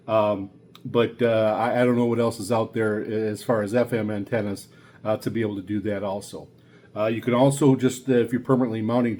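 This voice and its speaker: A middle-aged man giving a casual, unscripted equipment review as a video host, explaining in a halting, repetitive way. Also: mid-range voice, mellow. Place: small indoor room at a radio desk.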